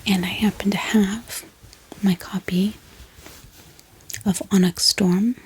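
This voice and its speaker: A woman speaking in a soft, gentle ASMR whisper, musing aloud in an unhurried way as she hosts. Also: medium pitch, level tone, a little hiss or hum, low-quality recording. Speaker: ASMR book haul host.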